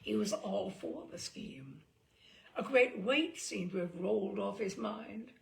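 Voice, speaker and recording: A woman storyteller narrating a humorous short story in an arch, theatrical, expressive way. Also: medium pitch, takes it slowly, creaky. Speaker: story reader.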